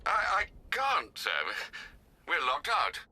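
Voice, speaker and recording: Courteous older butler. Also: male voice, high-pitched, huge pitch swings, breathy edge, heavily acted. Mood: worried. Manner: formal, courteous, measured. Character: polite, dutiful older male butler, gently cautioning. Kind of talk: video game cutscene acting. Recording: loud game sound effects behind.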